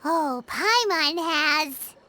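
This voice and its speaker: A woman voicing a small, childlike fairy companion in an anime-style video game, squeaky, curious and perky. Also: lively intonation, extremely slow, sharp tone, faint music behind, exaggerated voice. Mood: cheerful. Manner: perky, exaggerated, refers to self by name.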